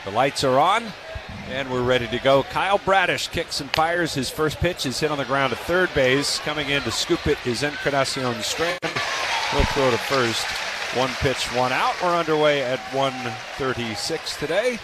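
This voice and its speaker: An American man does live baseball play-by-play for radio. His pitch sits in the middle range, and a light layer of stadium noise runs behind him. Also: animated, normal speed, crowd noise. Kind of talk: live sports commentary.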